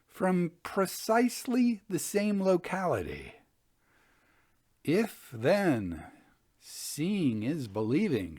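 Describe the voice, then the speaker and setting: A man hosting and reading Victorian ghost stories in a measured, expressive storytelling manner. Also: fairly high pitch. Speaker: podcast host and reader of ghost stories.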